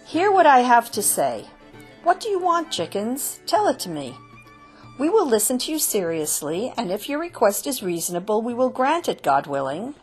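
An earnest old rabbi, put on by a woman narrator coaxes and reasons with a gentle, pleading lilt. His lines rise high and fall low. The tone is a little breathy. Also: very animated, moderate pace, soft background music. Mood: coaxing. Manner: pleading, coaxing, rises and falls. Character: earnest old male rabbi, patient leader.

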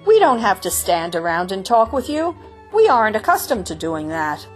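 A woman voicing a stern male village rabbi addressing the chickens loudly. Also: medium pitch, very animated, moderate pace, faint music behind, pitched above the performer's own voice, natural voice. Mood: commanding. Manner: loud, commanding, impatient.

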